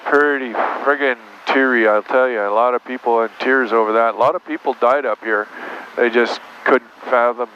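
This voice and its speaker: Male captain, mid-pitched, plain-spoken casual explainer, muffled audio with light engine noise. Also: fairly even intonation, moderate pace. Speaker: airline captain. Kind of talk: casual cockpit chat. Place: small turboprop aircraft cockpit in flight. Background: aircraft engine drone.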